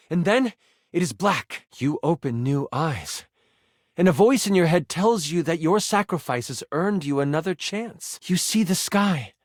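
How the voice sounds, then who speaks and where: An earnest, nerdy game master in a video game. The voice is fairly high and lively, theatrical in delivery, with a dry, close sound. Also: male voice, no echo. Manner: theatrical, earnest, awkwardly gushing. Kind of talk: dramatic tabletop game narration and chat.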